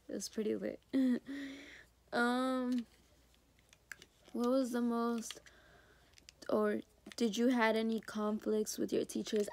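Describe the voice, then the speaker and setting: A sick teenage girl with a hoarse voice, talking hesitantly to her phone camera in her bedroom for a school video diary. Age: teens. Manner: hesitant, many ums, soft and low-key. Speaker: high school student. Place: bedroom indoors. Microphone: phone or laptop camera at arm's length.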